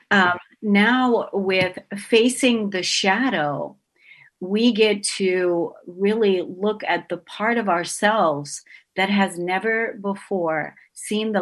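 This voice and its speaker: A woman guest-hosting a spiritual talk radio show, speaking in a reflective, earnest way with frequent ums, over a remote call. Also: on the low side. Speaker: guest host.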